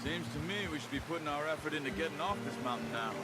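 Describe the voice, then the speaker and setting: A man voicing a calm, self-reliant American outlaw of the Old West in a video game, terse and understated. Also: a little high, rapid-fire, natural voice. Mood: practical. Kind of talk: video game character dialogue. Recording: game audio under loud music. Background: loud music.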